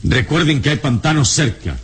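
An actor playing a calm, commanding adventurer hero in a radio drama, speaking with measured, reassuring authority. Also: male voice, a little high, noisy background, natural voice. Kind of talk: radionovela acting.

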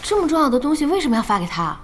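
An actress playing a spirited, outspoken young female boss in a radio drama, with a brisk and expressive manner. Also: high voice, slight background noise. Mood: curious. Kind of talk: Chinese radio drama acting.

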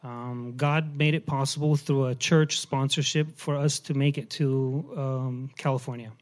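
A middle-aged man, born in Romania, giving a personal testimony in church, halting and self-deprecating with many fillers. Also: mid-range voice, fairly even intonation.

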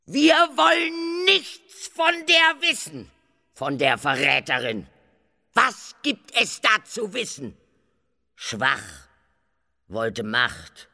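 A man's voice: fairly high pitch, wildly swooping pitch, very slow, creaky, sharp tone, heavily acted. An actor in a radio drama playing a scornful man denouncing a traitor, in emphatic, contemptuous phrases. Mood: scornful, bitter. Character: scornful male accuser denouncing a traitor queen. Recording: long reverberant hall.

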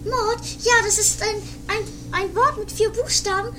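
An extremely high-pitched young typist at a police station, acted by a woman for a comic radio play. She is naive and slow on the uptake, fussy and easily flustered, with a hesitant delivery. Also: music in the background. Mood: naive, helpful. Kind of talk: amateur comedic radio play.

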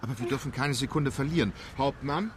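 An actor in a radio drama playing a calm, resolute English gentleman with measured, formal speech. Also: mid-range voice, slight background noise, lightly acted.

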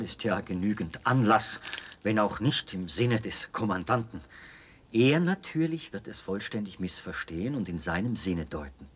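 An actor playing a zealous, obsessive colonial officer in a German radio play, formal and insistently persuasive, with a husky voice. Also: male voice, lowish voice, fairly bright tone.

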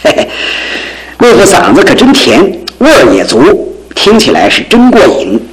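A man's voice: sits high, wildly swooping pitch, normal speed, low-quality recording. A man acting in a radio drama as an impatient but loyal friend, speaking in exclamations. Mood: admiring.

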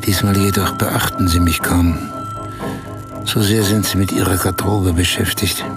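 An actor in a radio play as a man recounting, in measured detail, a strange dreamlike night of being pampered. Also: deep voice, fairly even intonation. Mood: recounting, absorbed. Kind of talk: German radio play monologue. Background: music.

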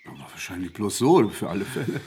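A man's voice: lowish voice, very animated, fairly quick, mellow, slightly rough, heavily acted. Flustered, polite male scientist. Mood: nervous, chatty. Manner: hesitant, flustered, eager to please. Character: bewildered, polite male astrophysicist being questioned at home. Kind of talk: naturalistic radio play acting.

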